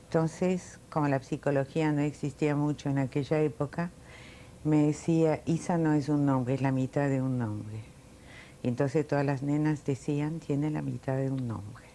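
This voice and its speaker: Woman in her late 60s, from Italy, low mellow voice, reflective storytelling. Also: steady tone, slight background noise. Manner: reflective, unhurried storytelling with fillers. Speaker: interviewee. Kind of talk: oral history recollection.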